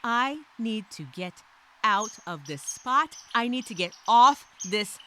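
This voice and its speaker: Woman acting an animated, anxious castaway, high-pitched voice. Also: slow, a little hiss or hum, heavily acted. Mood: worried, exasperated. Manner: theatrical, overthinking, repeats herself, self-questioning. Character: anxious, rambling woman castaway stranded on a tropical island. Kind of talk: dramatic first-person journal narration of a story.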